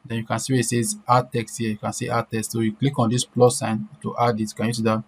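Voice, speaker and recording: A man's voice: medium pitch, completely flat delivery, fast. A man presenting an informal step-by-step screen-share tutorial, repeating himself and often checking in with short questions. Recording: clear background noise.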